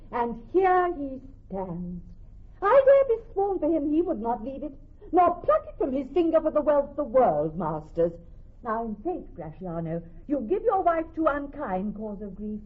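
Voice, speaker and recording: A woman's voice: sits high, very animated, normal speed. A woman playing a witty, commanding young noblewoman in classical Shakespeare radio drama, poised, articulate and theatrical. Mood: mock indignation. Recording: dull, lo-fi old radio recording.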